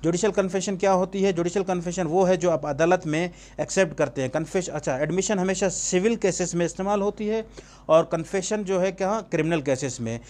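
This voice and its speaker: Male lecturer, Pakistani accent, voice a bit on the high side. Informal, didactic exam coaching built on rhetorical questions, with English terms mixed in. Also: brisk, a little mellow. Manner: didactic, poses questions and answers them himself; switches between Urdu and English terms. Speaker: law teacher.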